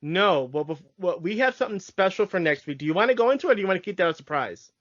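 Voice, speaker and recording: A man's voice: sits high, animated, rapid-fire, fairly bright tone, dry recording. Man co-hosting a podcast, dry muffled sound. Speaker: podcast co-host. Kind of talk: casual podcast discussion. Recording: dull, dry lo-fi audio.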